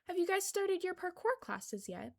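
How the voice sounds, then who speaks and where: Young boy in an audio story played by a woman: curious, plain-spoken, a touch higher in pitch. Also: sing-song, moderate pace, creaky, exaggerated voice. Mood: inquisitive.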